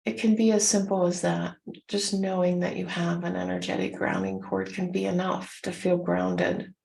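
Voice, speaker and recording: Creaky-voiced woman guiding a meditation. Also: on the low side, steady tone, normal speed, darkish tone. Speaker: meditation guide. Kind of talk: guided meditation, gently instructive.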